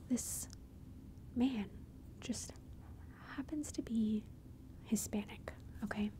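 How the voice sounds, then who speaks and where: A woman telling a personal story in a soft, chatty whisper, ASMR style. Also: fairly high pitch, very slow, long pauses. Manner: soft unvoiced whisper throughout; chatty, conversational asides.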